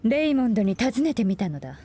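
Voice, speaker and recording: An actress playing a stern, dignified woman scholar in a game, with archaic, stately speech and a husky voice. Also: fairly high pitch, very animated, quick pace, mellow. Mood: grave. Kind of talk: Japanese game dub acting. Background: game music.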